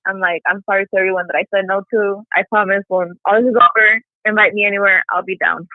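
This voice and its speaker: A woman's voice: mid-range voice, fairly even intonation, quick pace, no room sound. A young woman chatting casually as an interview guest over a phone call, peppering her talk with "like" and "you know.". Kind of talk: casual chat. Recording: tinny phone line, dry.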